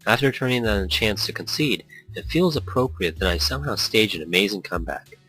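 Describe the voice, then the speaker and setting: A man's voice: lowish voice, animated, brisk, bright, forceful tone, low-quality recording. A man hosting a let's play, reading visual novel text aloud and drifting into rambling, casual commentary. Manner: rambling asides. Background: background music.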